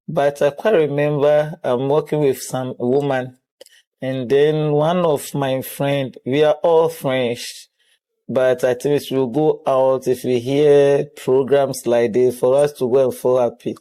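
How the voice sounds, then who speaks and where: A middle-aged woman with a Ghanaian accent, a guest in an interview, speaking softly and haltingly in nonstandard English. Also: very deep voice, steady tone, smooth.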